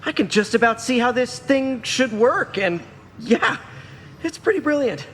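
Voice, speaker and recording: Boyish game hero, very high voice, bouncy and eager, slight ambient noise. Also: male voice, expressive, unhurried, fairly bright tone, full character voice. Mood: eager, earnest. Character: youthful eager wisecracking spider hero. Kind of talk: video game character voice line.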